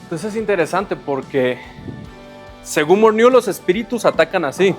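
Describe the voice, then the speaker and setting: A man's voice: sits high, animated, moderate pace, faint music behind. A male host talking to his audience, explaining and commenting between video clips. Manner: explanatory, addresses the audience directly.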